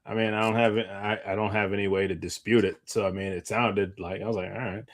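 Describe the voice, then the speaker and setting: A man's voice: on the low side, little pitch movement, fairly quick. A man chatting casually about movies as a podcast co-host, rambling and often trailing off mid-thought.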